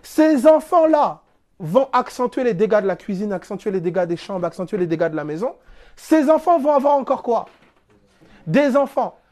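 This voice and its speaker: A man's voice: sits high, very animated, normal speed, darkish tone. A man from France giving a spiritual teaching talk, explaining through everyday examples and rhetorical questions in a plainspoken, casual way. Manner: plainspoken, casual turns of phrase.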